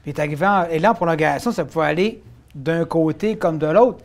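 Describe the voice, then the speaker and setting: Fast-talking hockey host. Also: male voice, on the high side. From Quebec. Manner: measured, analytical, insistent. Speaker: sports talk host. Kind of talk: casual hockey chat.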